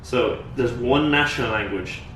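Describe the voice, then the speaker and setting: Irish man, young, relaxed rambling talk, sits back from the mic, echoey room. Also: medium pitch, moderate pace. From Ireland. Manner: relaxed, rambling, with many fillers. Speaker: podcast co-host. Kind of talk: casual chat. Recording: echoey room, mic at a distance, faint noise.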